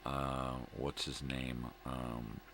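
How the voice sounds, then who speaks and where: A middle-aged man with a gravelly voice, rambling casually and folksily as he hosts a morning vlog, often repeating himself. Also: level tone, extremely slow. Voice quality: gravelly, very deep. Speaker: host of a daily vlog. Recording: clear background room noise.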